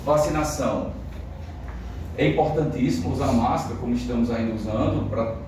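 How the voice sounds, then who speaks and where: A man's voice: mid-range voice, a little fast, darkish tone. A man with a Brazilian Portuguese accent giving a conversational medical lecture, often checking in with the class. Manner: conversational, frequent fillers and tag questions. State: has a cold. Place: lecture room. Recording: very echoey room, distant mic, noise.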